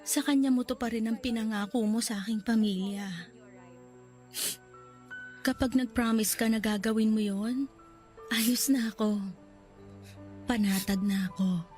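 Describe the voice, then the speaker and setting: A woman voices a young woman gravely ill in a hospital bed, tender toward her love and pleading with him. Her voice sits a little high and soft, breaking into tears. Faint music plays under this radio drama. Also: steady tone, a little slow, pauses often, natural voice. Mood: earnest.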